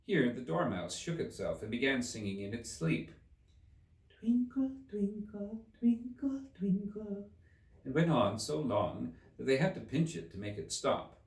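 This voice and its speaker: A man's voice: medium pitch, expressive, moderate pace, gravelly. A man reading a children's classic aloud as scripted narration. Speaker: storybook reader. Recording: roomy, distant microphone.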